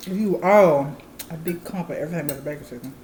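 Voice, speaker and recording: A woman's voice: deep voice, fast, darkish tone, reverberant, a little hiss or hum. A woman in her thirties chatting candidly and explaining things plainly to viewers as a channel co-host. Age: 30s. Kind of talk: casual chat.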